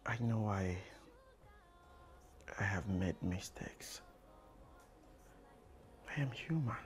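A man playing a remorseful lover who quietly begs his partner for a second chance, often in a hushed, whispery voice. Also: low-pitched, vocal fry. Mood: pleading. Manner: slow, hushed pleading.

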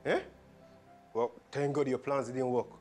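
A man's voice: mid-range voice, fairly even intonation, unhurried, a little mellow, close to an everyday voice. Actor as stern nigerian guy telling someone off, mid-range voice, firm, film drama, faint music behind. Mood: reproachful. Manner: firm, admonishing. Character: stern, reproachful man scolding a troublesome woman.

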